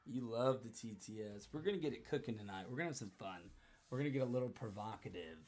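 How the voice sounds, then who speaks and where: A man in his thirties with a hoarse voice, chatting casually and profanely with his livestream audience. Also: mid-range voice, lively intonation, fast, a little mellow. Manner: rambling, profane, joking with chat.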